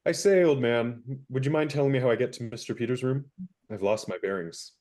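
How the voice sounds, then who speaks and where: A man's voice: medium pitch, a little fast, a little mellow, natural voice. An actor playing an easygoing young American man who speaks politely and a bit sheepishly. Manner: polite, casual, slightly sheepish. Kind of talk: radio play acting.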